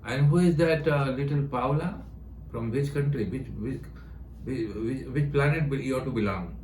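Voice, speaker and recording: A man's voice: mid-range voice, moderate pace, a little mellow, reverberant, a little hiss or hum. A man hosting a video call as a spiritual teacher, joking playfully in broken English and dropping in Spanish phrases. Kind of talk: casual chat.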